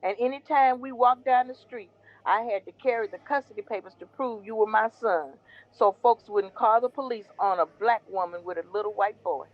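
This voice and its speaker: A woman playing Mama Ronnie, a devout foster mother who gently consoles her jailed son in an audio drama. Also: fairly high pitch, moderate pace, muffled recording, close to an everyday voice. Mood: earnest. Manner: gentle, consoling, preaching faith. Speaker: Drew's mother.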